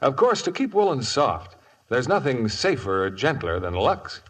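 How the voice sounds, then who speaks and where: An American man delivering a polished 1950s radio sponsor pitch as the announcer. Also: sing-song, moderate pace, a bit bright, slightly rough. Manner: polished, promotional.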